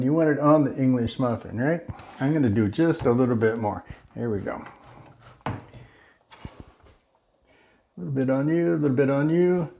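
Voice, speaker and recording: Laid-back guy making little pizzas in his kitchen, talks slow, jokes around a bit. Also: medium pitch, vocal fry. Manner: relaxed and chatty, slips into a joking mock-Italian accent. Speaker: home cooking video host. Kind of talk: casual cooking demonstration.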